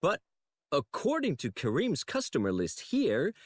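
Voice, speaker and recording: A young-sounding actor voicing an eager, cheeky but polite apprentice angel in a video game. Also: male voice, on the high side, wildly swooping pitch, measured pace, dry recording, full character voice. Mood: inquisitive. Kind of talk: video game character dialogue.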